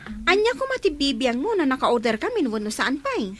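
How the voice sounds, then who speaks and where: A woman's voice: high-pitched, sing-song, moderate pace. A woman playing a spoiled, hot-tempered teenage daughter in a radio drama, whiny, petulant and argumentative. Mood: irritated, haughty.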